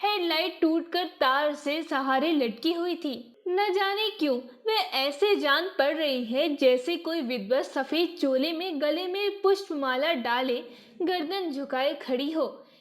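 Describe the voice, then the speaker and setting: High-pitched, unhurried woman storyteller. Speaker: narrator. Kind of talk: scripted moral story narration.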